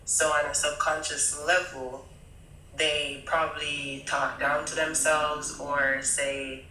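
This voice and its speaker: A woman's voice: low voice, little pitch movement, takes it slowly, bright, forceful tone, reverberant, a little hiss or hum, low-quality recording. A woman calling into a stream, stuffy from a cold, hesitant and searching for words. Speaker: caller on a live stream. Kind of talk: casual conversation.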